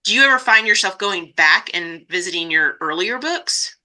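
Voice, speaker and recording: A woman's voice: medium pitch, animated, moderate pace, bright, forceful tone. A woman hosting a podcast, interviewing in a conversational style. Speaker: podcast host.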